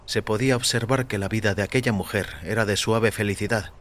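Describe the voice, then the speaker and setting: A man's voice: fairly low pitch, fairly even intonation, fairly quick, a bit bright, a little hiss or hum. A man narrating a scripted first-person crime story for audio fiction.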